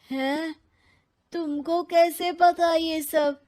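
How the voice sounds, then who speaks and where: A woman's voice: very high-pitched, fairly even intonation, very slow, darkish tone, full character voice. A woman voicing an astonished, exasperated young housewife in an exclamatory cartoon style. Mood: amazed. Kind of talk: Hindi cartoon story voice acting.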